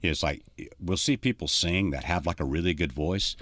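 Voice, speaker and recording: A man with a deep voice, a touring country musician chatting as a podcast guest, telling stories in a rambling way full of "you know". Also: normal speed, a bit bright, no echo. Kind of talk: casual podcast conversation.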